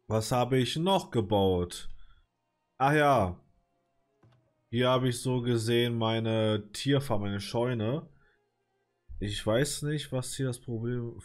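A man with a cold commenting casually on his city-building game, hesitant and rambling with many fillers, clearing his throat now and then. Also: mid-range voice, a little mellow. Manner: rambling, hesitant, lots of filler, mild swearing. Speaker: let's play gamer.

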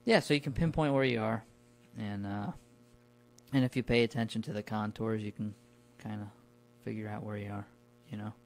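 A man talking casually and colloquially, telling stories in a relaxed conversational podcast style. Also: lowish voice, normal speed, gravelly. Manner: informal, colloquial. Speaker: podcast guest recounting his camping trips.

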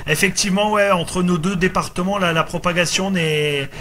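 Bright, high-pitched man on amateur radio. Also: fairly even intonation, normal speed, a little hiss or hum. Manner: repeats himself, tag words. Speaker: amateur radio operator running a group contact. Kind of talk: casual CB radio chat.

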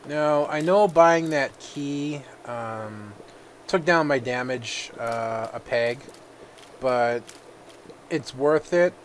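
Guy a bit under the weather chatting thru a game, lots of ums, mid pitch. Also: very slow, a little hiss or hum. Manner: relaxed, thinking aloud with many fillers. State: a little sick. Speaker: gaming video host. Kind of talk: casual let's-play commentary.

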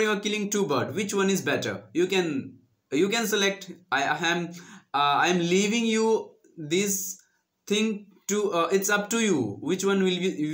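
A man's voice: high voice, fairly bright tone. A young man in his twenties with an Indian accent and Hindi as his first language, earnestly giving English-learning tips straight to camera on a lapel mic. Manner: earnest, coaching, addresses viewers as friends. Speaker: English-learning channel host. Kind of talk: direct-to-camera advice talk. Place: small indoor room with green screen.

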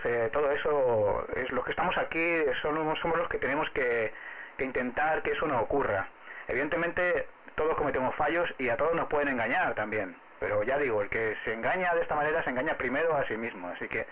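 A man's voice: mid-range voice, phone-quality sound. A man with an accent from Spain, an amateur radio operator explaining things in casual on-air conversation. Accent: Spanish from Spain.

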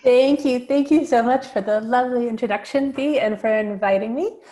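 A middle-aged American woman giving an online architecture lecture over a video call, in a clear, steady academic way with some fillers. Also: on the high side, moderate pace. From United States. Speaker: guest lecturer.

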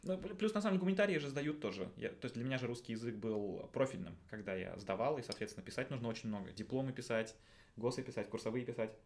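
A man's voice: medium pitch, lively intonation, fast talker, darkish tone. Man thinking aloud in casual chat. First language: Russian. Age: 30s. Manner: questioning, colloquial. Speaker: social studies teacher. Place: small indoor studio.

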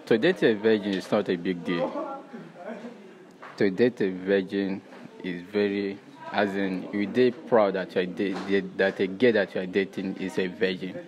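A man's voice: low voice, fairly even intonation, moderate pace, muffled recording. A young man with a Nigerian accent answering interview questions as a security officer. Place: by a stairwell. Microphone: handheld device held by interviewer. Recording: crowd chatter behind the voice.

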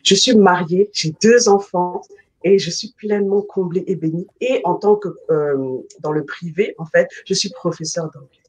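A woman's voice: fairly low pitch, moderate pace, husky, rough recording. A middle-aged woman from the Congo, a life coach, introducing herself on a video call in an earnest, faith-filled testimony. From Republic of the Congo. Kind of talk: guest self-introduction. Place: home room, video call. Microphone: webcam mic on video call.

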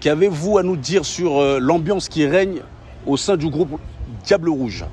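Young male interviewer with a lively pitch. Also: a little slow, distant mic, slight background noise. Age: young adult. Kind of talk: polite formal interview questions. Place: outdoors by a hotel pool.

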